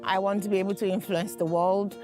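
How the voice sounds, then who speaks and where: A woman's voice: medium pitch, level tone, fairly quick. A woman from Nigeria, an international student, speaking in an enthusiastic, upbeat way in a scripted promo testimonial. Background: background music.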